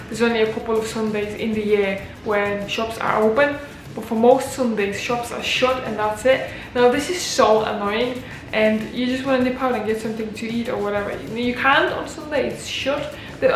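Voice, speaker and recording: A woman's voice: fairly high pitch, a little hiss or hum. Chatty Polish-born woman in an echoey room. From Poland. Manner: chatty, uses many fillers like "like" and "you know". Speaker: vlogger. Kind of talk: casual talking-to-camera vlog. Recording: echoey room with faint music.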